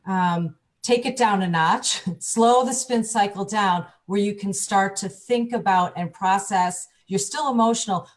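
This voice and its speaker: A woman working as a divorce coach, explaining calmly on a talk panel, often restarting her sentences. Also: mid-range voice. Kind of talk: panel discussion.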